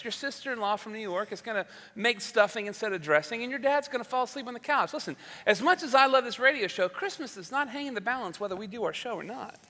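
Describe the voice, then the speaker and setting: A man's voice: high voice, bright, forceful tone, full character voice. A man playing an easygoing, folksy boss of a live radio show in a stage comedy, chatting with hesitant starts. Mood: unworried, reassuring. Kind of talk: theatrical stage comedy acting. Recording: reverberant hall, live stage sound.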